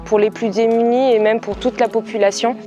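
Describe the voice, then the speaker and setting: A woman in her twenties giving an earnest, measured campaign statement. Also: on the high side, steady tone, mellow. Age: 20s. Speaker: night nurse, election candidate. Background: music.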